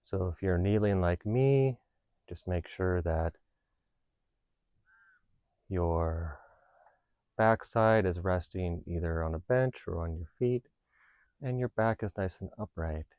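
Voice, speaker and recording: A man with a deep, soft-edged, mellow voice leads a guided meditation. He speaks calmly and reassuringly, taking his time over each gentle instruction. The sound is dry, with no room around the voice. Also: no room sound. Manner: calm, unhurried, reassuring. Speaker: meditation teacher.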